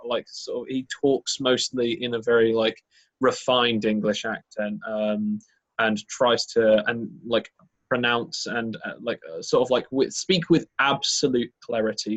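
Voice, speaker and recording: A man's voice: mid-range voice, a bit bright, rough recording. A man talking casually about voicing characters as a game master, rambling with many fillers and restarts. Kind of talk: casual podcast chat.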